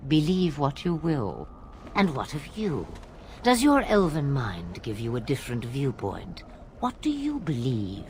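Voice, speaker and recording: Casting: cryptic old witch, fantasy game, slow swooping riddles, imperious mockery, faint noise behind. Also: extremely low pitch, exaggerated voice. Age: older. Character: cryptic old witch of the wilds, mocking, cackling. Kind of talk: fantasy video game acting.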